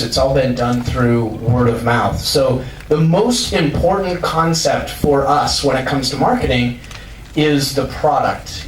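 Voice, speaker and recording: Loud chatty man in an echoey hall. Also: mid-range voice, a little hiss or hum. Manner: loud, chatty, with many fillers and restarts. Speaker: conference speaker. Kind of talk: casual talk to a live audience. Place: large university lecture hall. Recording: echoey hall picked up from far off.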